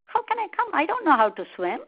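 A high-voiced, clever monkey from a storybook, played by a woman. He is friendly and exclaims with emphasis. The recording sounds slightly dull. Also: huge pitch swings, fast talker, exaggerated voice. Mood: scared, alarmed. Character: friendly, quick-witted male monkey, easily alarmed.

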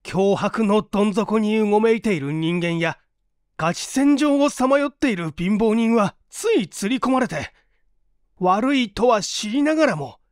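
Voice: mid-range voice, expressive, a little slow, no echo, clean studio sound, voice pushed up, close to an everyday voice. A performer voicing an earnest, indignant young man in a storybook reading, formal, polite and emphatic. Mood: indignant, heated. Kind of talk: dramatized literary reading.

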